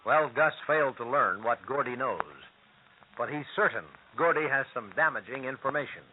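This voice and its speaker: A man's voice: mid-range voice, normal speed. A man with an American accent delivering scripted narration as a radio serial announcer. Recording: tinny phone-like old radio recording, hissy.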